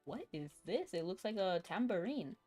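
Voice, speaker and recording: A woman streaming a video game, chatting casually and thinking out loud as she plays, with a chuckle here and there. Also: mid-range voice, sing-song, normal speed. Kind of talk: casual live-stream chat while gaming. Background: faint game music.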